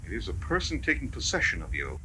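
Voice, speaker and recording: An actor playing a probing, reassuring doctor in a 1970s radio drama. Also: male voice, fairly low pitch, huge pitch swings, fast talker, sharp tone, slight background noise, heavily acted, rough recording. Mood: calm, reassuring. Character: probing, reassuring male doctor studying a possessed patient. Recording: 1970s radio recording, music behind.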